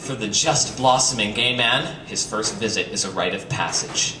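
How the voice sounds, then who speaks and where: A man's voice: lowish voice, moderate pace. A man giving a theatrical live reading with joking asides and campy, playful emphasis, as a reader at a comedy night. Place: bistro venue in front of an audience. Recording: roomy, distant, noisy live stream capture.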